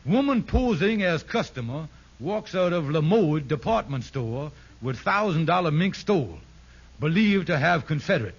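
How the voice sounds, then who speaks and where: An actor playing a blustering, scheming husband in 1950s radio comedy, speaking in folksy, nonstandard dialect. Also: male voice, on the high side, lively intonation, normal speed, husky. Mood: alarmed. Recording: dull, hissy 1950s radio recording.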